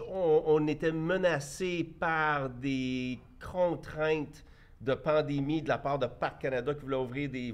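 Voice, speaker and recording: A man in his fifties with a Québécois accent, giving his blunt opinions as a guest in a debate, often restarting his sentences. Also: medium pitch. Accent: Québécois French. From Quebec. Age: 50s. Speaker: guest, entrepreneur. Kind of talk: debate interview.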